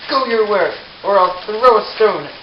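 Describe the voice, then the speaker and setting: A man's voice: sits high, animated, unhurried, slight background noise. A man voicing a saucy, scolding, teasing character in an amateur puppet show, with a brash manner. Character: saucy, scolding young wife or teasing townsperson. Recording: roomy, dull lo-fi sound, music behind.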